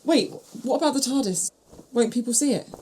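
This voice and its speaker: A woman's voice: on the high side, brisk, a little mellow, slight background noise, close to an everyday voice, low-quality recording. A young woman playing a curious, sceptical time-travelling companion in a fan-made audio drama, questioning and wry. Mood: curious, puzzled.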